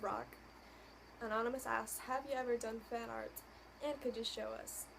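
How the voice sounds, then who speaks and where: A woman's voice: fairly high pitch, steady tone, moderate pace, vocal fry, fairly bright tone, a little hiss or hum, poor audio. A young woman from Puerto Rico answering viewer questions in a casual vlog, hesitant and full of ums and likes. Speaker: vlogger. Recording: music playing behind.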